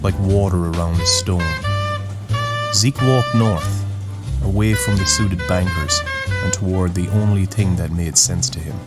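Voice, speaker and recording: A man narrating a scripted dramatic story in a solemn, poetic, deliberate way. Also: low-pitched. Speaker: narrator, host of a story series. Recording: music bed under the voice. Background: music.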